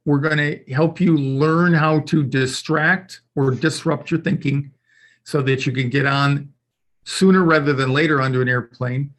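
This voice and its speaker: A man's voice: fairly high pitch, steady tone, unhurried, fairly bright tone. A man on a live web show explaining how his course helps nervous flyers, in a reassuring coaching manner. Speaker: fear-of-flying course leader.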